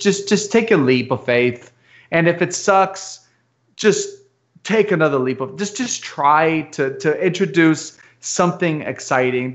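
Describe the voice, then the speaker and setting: A man's voice: medium pitch, fairly bright tone. A man whose first language is Albanian, speaking earnestly in a casual video-call chat, often restarting his sentences. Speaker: podcast host. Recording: muffled lo-fi call audio.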